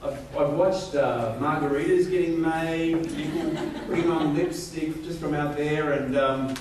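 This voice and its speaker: A man's voice: on the high side, background noise. Aussie guy in his 40s joking his way thru a stage speech, lots of ums, really echoey hall, sounds far off. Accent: Australian. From Australia. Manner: joking, self-deprecating, halting with fillers. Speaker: company executive giving a speech. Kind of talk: informal rally speech. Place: large event hall on stage.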